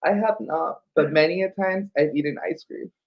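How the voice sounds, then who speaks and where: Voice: mid-range voice, normal speed. A drag queen co-hosting a children's story time, reading aloud with playful, campy banter over livestream audio. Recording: slightly dull livestream audio.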